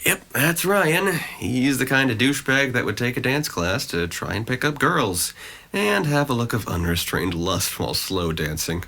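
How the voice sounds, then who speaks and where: A man's voice: mid-range voice, big pitch swings, normal speed, bright, forceful tone, exaggerated voice. A man acting a guarded, gloomy young man in an audio drama, with a dry, sarcastic, wordy delivery. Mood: sardonic. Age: young adult.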